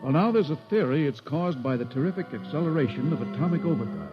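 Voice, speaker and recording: An actor playing a wry, grumbling ship's doctor in a 1950s radio drama. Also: male voice, a little high, animated, fast talker, close to an everyday voice. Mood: matter-of-fact, dry. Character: dry, grumbling male ship's doctor, wryly pessimistic. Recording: dull vintage radio recording, music behind.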